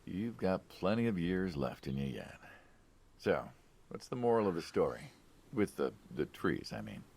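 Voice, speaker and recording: An actor playing an affable middle-aged mobster in a story game, gravelly, conversational and coaxing, with quiet menace. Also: male voice, sits low, big pitch swings, normal speed, exaggerated voice. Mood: probing. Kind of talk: naturalistic narrative game voice acting.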